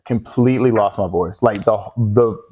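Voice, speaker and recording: A young man, a pop singer as guest, telling stories in a casual interview, animated and laughing often with slangy phrasing. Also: on the low side, moderate pace, noisy background.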